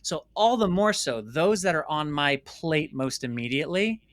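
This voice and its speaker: Earnest american guy in an interview, kinda high voice, dry close sound, leans on words. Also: animated, a bit bright, dry recording. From United States. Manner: earnest, emphatic, with repeated words and pauses for stress. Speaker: interview guest, documentary filmmaker. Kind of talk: reflective personal storytelling in conversation.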